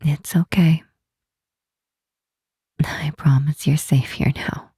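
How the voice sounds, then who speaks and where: A woman voicing a protective, commanding yet tender Demon Queen in an ASMR roleplay, speaking softly and breathily, often whispering, in a soothing, gently insistent way. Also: low-pitched, fairly even intonation, slow pace, husky, no room sound, studio quality, full character voice. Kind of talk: ASMR roleplay, intimate comforting monologue.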